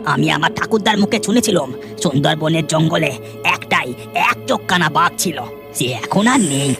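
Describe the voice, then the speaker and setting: Woman doing a creaky old village uncle. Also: low voice, animated, moderate pace, over music, pitched below the performer's own voice. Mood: incredulous. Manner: reminiscing, surprised. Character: old village tea seller, sceptical uncle.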